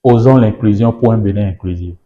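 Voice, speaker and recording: A man's voice: fairly low pitch, moderate pace, narrow, tinny audio. A man whose mother tongue is Yoruba, a political guest in an interview, speaking emphatically with repeated phrases and rhetorical questions.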